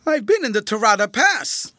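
A man voicing a whining, cringing old ex-soldier turned tramp, rough-spoken and slyly malicious, in a storybook reading. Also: sits high, sing-song, brisk, fairly bright tone, dry recording, clean studio sound, voice pushed up, exaggerated voice. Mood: pleading, resentful. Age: older. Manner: wheedling, rough colloquial speech.

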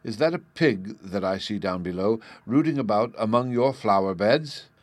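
A man's voice: fairly low pitch, lively intonation, normal speed, no echo. A man voicing a pompous, stingy middle-aged official who blusters. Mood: smooth.

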